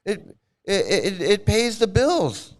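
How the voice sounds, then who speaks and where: A man's voice: sits high, big pitch swings, slow pace. A middle-aged man ranting in casual commentary, profane and scornful, repeating words and trailing off into restarts. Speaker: commentary channel host.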